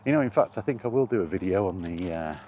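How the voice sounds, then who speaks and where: A man's voice: sits low, expressive, fast talker, darkish tone, a little hiss or hum. A British man giving a rambling, casual vlog chat in a blunt, opinionated manner. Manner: opinionated, blunt, mildly sweary. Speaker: video blogger.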